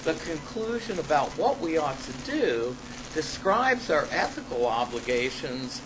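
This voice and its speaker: A man reading an academic paper aloud to a class as a lecturer, halting at times and stressing key phrases. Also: medium pitch. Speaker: college ethics lecturer and paper author. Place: classroom in front of students. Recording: noisy amateur classroom recording.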